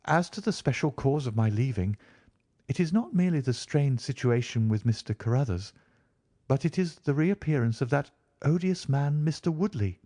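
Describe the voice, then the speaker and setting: A man's voice: medium pitch, animated, normal speed, warm tone, dry recording, clean studio sound, voice pushed up, lightly acted. A man voicing an anxious, indignant young genteel Victorian woman in a prim, earnest manner for an audiobook. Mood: earnest, uneasy. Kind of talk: audiobook character reading.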